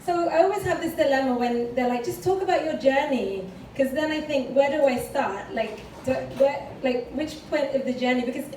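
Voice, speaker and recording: A woman's voice: high-pitched, moderate pace, hardly any pauses, darkish tone. A woman with a British accent giving a candid personal talk with slides, chatty and full of fillers. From United Kingdom. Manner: chatty and candid, frequent fillers, light laughs. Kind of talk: conference talk, personal story. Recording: echoey room, distant mic, background noise.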